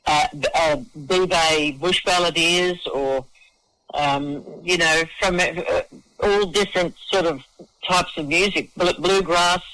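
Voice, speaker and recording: An Australian man with a high, bright voice talks in a casual interview. He is enthusiastic and matey, stammering over repeated words as he gushes about his subject. The sound is close and dry. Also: fairly even intonation, takes it slowly, no echo, low-quality recording. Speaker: festival organiser and singer-songwriter, interview guest. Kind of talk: casual radio interview chat.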